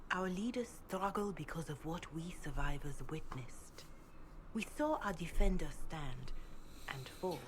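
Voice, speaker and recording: An actress playing a calm, devout middle-aged Mother of a faith in a video game, speaking in measured, formal, solemn phrases. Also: low voice, rough recording. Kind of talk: video game dialogue acting. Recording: game audio with clear background noise.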